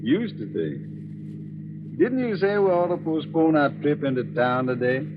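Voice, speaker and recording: A friendly, folksy American rancher talks with wildly swinging intonation in a 1940s radio play. The old recording is muffled, with clear vehicle noise behind. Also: male voice, on the high side, unhurried. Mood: teasing, friendly. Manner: folksy, animated. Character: friendly, teasing male Western rancher driving. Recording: muffled old radio, noisy car ambience.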